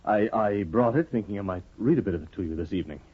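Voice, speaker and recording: A grave, reserved literary man on a dull old radio recording. His lowish voice is intense and brooding, with a hesitant delivery. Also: expressive, fast, husky. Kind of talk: 1950s radio drama acting.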